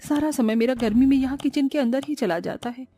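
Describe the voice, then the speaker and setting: A woman's voice: sits high, a little fast, dark tone, no room sound. A woman voicing an overworked, long-suffering young housewife in a cartoon moral story, plaintive and dutiful. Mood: weary, complaining.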